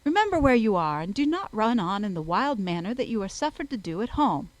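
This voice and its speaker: A woman's voice: medium pitch, very animated, fairly quick, natural voice. A woman voicing a fussy, effusive, self-satisfied middle-aged mother in a period novel audiobook. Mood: scolding. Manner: effusive, emphatic.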